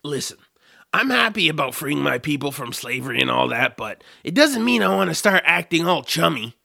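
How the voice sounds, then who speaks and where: Grumpy, gravelly troll. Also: male voice, on the high side, big pitch swings, moderate pace, pushed and bright, dry recording, studio quality, heavily acted. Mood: grudging. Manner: exasperated, grumbling. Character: grumpy, reclusive male troll, food-obsessed reluctant hero. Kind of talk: family audio drama acting.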